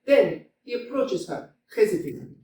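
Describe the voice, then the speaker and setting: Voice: medium pitch, very animated, normal speed, mellow, a little hiss or hum. Distant stage-direction reader in an echoey hall. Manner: plain reading, sometimes laughing. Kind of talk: reading stage directions aloud. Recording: echoey hall, mic from far off.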